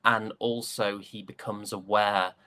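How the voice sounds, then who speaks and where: Low-pitched man, reflective and hesitant. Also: little pitch movement, a little slow, pushed and bright. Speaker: stage actor being interviewed. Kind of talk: casual interview chat.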